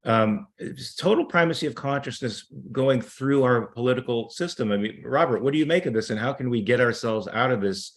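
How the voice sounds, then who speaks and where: A man's voice: mid-range voice, a little fast, hardly any pauses. A man hosting a panel discussion, talking conversationally and handing questions to the others.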